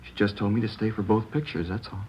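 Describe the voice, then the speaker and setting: A man playing a shaken, upset schoolboy in a 1950s radio crime drama, speaking haltingly and plainly with an American accent. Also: fairly low pitch, steady tone, lightly acted. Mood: subdued, halting. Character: shaken schoolboy who found his mother killed. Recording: dull, noisy vintage radio recording.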